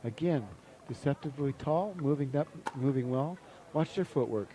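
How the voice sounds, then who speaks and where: Excitable male sports commentator. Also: medium pitch, moderate pace, noisy background. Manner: excitable, chatty, exclamations.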